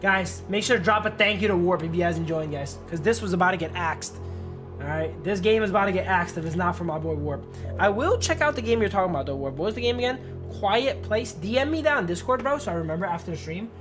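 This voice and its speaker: A man's voice: sits high, expressive, fast, over music. A man livestreaming a game, reading its story text aloud and chatting in slang, stumbling over long words. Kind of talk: narrating game text, casual chat with viewers.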